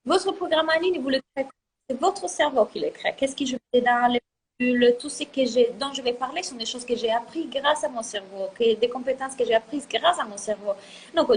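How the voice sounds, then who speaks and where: A woman's voice: fairly high pitch, a little hiss or hum, rough recording. A woman guest coach speaking French as a second language, earnest and emphatic, repeating words for stress. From Italian family. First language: not French. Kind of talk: casual talk, motivational.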